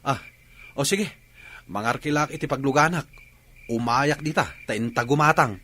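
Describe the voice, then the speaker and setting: A man's voice: medium pitch, normal speed, bright, forceful tone, natural voice. A man with an Ilocano Filipino accent playing a dutiful, hesitant young husband in a radio drama. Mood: earnest. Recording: music and crowd noise behind.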